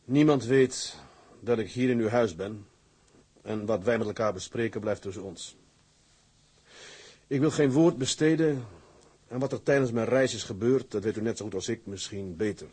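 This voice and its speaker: An actor playing a grim, grieving sea captain in a Dutch drama, speaking in a solemn, deliberate way. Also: male voice, lowish voice, darkish tone, slightly rough. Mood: cold, firm. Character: grim, grief-stricken middle-aged male tugboat captain.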